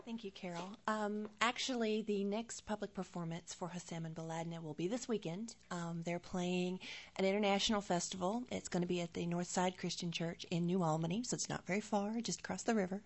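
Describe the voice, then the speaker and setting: A woman gives event details in an informative, announcing way. She pauses on soft fillers between items. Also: lowish voice. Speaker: event promoter.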